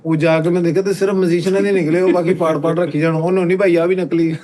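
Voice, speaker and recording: A man's voice: a little high, fairly even intonation, rapid-fire, dark tone. A man with a Pakistani Punjabi accent, a singer on a comedy panel, casually telling comic anecdotes.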